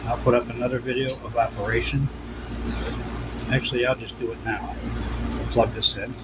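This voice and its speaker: A man's voice: medium pitch, little pitch movement, normal speed. Man demonstrating hands-on, very noisy recording. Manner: instructional, repeats cautions. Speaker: vintage radio restorer demonstrating. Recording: loud hum and background noise.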